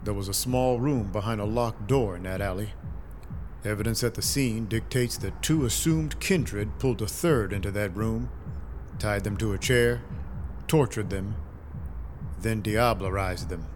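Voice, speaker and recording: A man's voice: lowish voice, unhurried, slight background noise, natural voice. A man playing a dry, brooding, world-weary vampire detective with terse noir delivery in an audio drama. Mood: wry, businesslike. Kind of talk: first-person noir narration, audio drama acting. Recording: loud music behind the voice.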